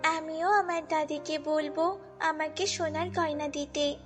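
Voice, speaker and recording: Woman as a high-pitched, pleading little girl. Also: fairly even intonation, a little slow. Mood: eager, innocent. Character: pleading, excitable orphan little girl, a devoted granddaughter. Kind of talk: children's fairy-tale cartoon voicing. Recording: long reverb, music bed.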